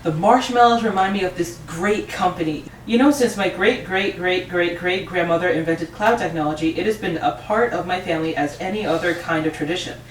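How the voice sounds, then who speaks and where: A woman's voice: lowish voice, brisk, hardly any pauses, natural voice. A woman playing a friendly, chatty factory boss in an amateur table read, talking in an upbeat, rambling way. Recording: roomy, distant mic, faint noise.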